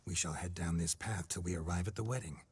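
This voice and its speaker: An actor playing a pompous, commanding nobleman in a video game, with a husky voice and a posh, haughty manner. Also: low-pitched, fast talker, darkish tone. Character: pompous male nobleman speaking through a witcher.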